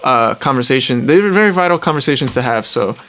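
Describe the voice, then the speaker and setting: A man's voice: mid-range voice, animated, fast talker, fairly bright tone. Rambling, eager podcast co-host man. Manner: rambling, enthusiastic, fillers. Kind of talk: casual podcast interview.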